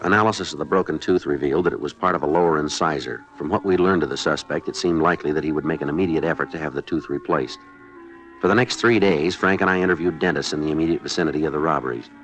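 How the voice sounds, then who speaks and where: An American man playing a terse, stoic police detective sergeant who narrates in a clipped, deadpan way, in 1950s radio drama style. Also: extremely low pitch, fast, soft background music. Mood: matter-of-fact narration.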